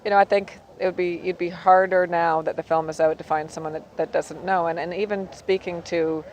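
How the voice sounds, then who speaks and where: A woman producer, speaking earnestly and warmly in an interview. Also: fairly low pitch, steady tone, brisk, poor audio. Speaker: film producer.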